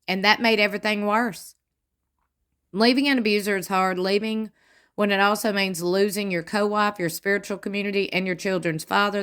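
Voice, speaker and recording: Lady vlogger reading fan comments out loud, wry little asides, sitting at home. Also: mid-range voice. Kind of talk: reality TV commentary, reading fan posts aloud. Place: home, seated in a squeaky chair.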